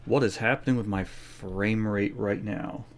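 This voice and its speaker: A man's voice: on the low side, steady tone, a little slow. A man giving casual gameplay commentary, reading some dialogue aloud and adding offhand, wry asides. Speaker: streamer, game player. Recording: faint background noise.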